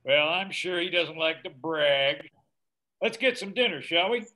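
A glad-handing, absentee grandpa in a screenplay read. The voice is very bright and punchy, sitting on the high side for a man. He is breezy and overfamiliar. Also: expressive, measured pace. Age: 60s. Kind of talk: screenplay table read over video call. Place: room at home, indoors. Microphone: laptop mic on video call.

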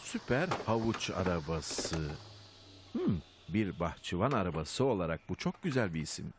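An actor voicing a kindly, reassuring middle-aged father rabbit in a dubbed cartoon, speaking calmly. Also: low voice, moderate pace, noisy background. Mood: agreeable. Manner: calm, reassuring. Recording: music behind the dialogue.

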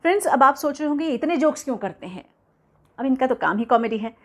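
A woman's voice: sits high, animated, fast talker. A woman in her forties hosting an entertainment news commentary to camera from a room at home, speaking earnestly and measuredly with frequent hesitations.

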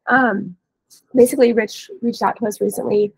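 A woman in her thirties, hoarse and scratchy from a cold, giving a casual software training webinar over a headset. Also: fairly high pitch, steady tone, normal speed, dry recording. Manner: casual, explanatory. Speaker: webinar trainer, dealer support staff. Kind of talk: informal software training walkthrough. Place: indoor room, on a video call.

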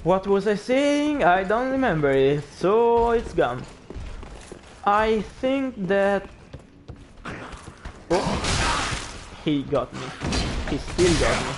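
A man's voice: high-pitched, big pitch swings, takes it slowly, pauses often, slight background noise. A young man with an Italian accent, a non-native English speaker, chatting as he streams a game and reacting aloud to it. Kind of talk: gameplay commentary.

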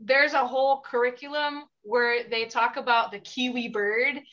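A woman in her thirties hosting a casual interview on a video call, bubbly and quick to chime in with short responses. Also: a little high, fairly even intonation, a bit bright. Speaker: interviewer, host. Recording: dull lo-fi call audio.

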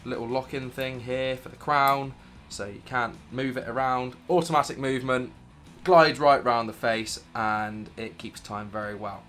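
A British man in his thirties with a croaky voice from illness, chatting enthusiastically about his gear to camera in a home studio. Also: medium pitch, steady tone, moderate pace, fairly bright tone, faint music behind. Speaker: vlogger. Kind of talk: casual gear review to camera. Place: dim home studio room, indoors.

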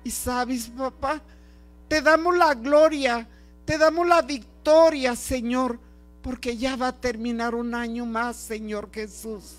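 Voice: medium pitch, steady tone, takes it slowly. A prayer leader speaking a fervent, improvised devotional prayer in short, pleading, repeated phrases. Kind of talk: fervent extemporaneous spoken prayer. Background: music.

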